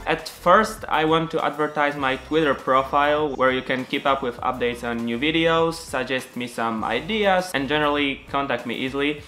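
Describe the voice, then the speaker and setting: A man's voice: medium pitch, music in the background. Young Polish-accented man, earnest narration. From Poland. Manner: earnest, slightly halting second-language delivery with frequent fillers. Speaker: animator and channel creator. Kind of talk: casual making-of narration.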